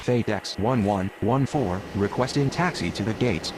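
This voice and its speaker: A man's voice: moderate pace, close to an everyday voice. A man playing a businesslike pilot and controller, speaking in formulaic aviation radio phraseology. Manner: procedural, formulaic. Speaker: air traffic radio voice. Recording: noisy, with vehicle rumble behind.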